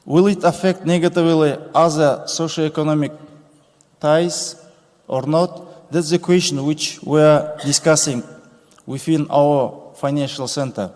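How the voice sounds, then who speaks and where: A man, giving a formal conference speech with slow, deliberate phrasing and pauses. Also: on the high side, a little mellow. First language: Kazakh. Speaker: conference speaker. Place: large conference hall stage. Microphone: podium microphone. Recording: reverberant conference hall.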